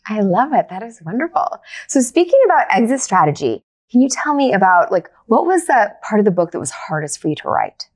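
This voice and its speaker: A woman's voice: medium pitch, very animated, fairly quick, hardly any pauses. A woman hosting an interview podcast, asking questions and responding in an encouraging, affirming way.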